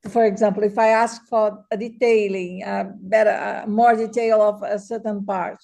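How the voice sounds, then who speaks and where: A woman's voice: medium pitch, little pitch movement, moderate pace, vocal fry, warm tone. A woman whose first language is Portuguese, describing a problem on a video call and hesitantly searching for words. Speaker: attendee asking a question.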